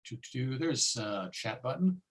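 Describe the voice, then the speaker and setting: Man, middle pitch, informal presenter, musing delivery, frequent mid-phrase pauses. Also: very animated. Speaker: presenter and old-time radio collector. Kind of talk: informal online talk with audience questions.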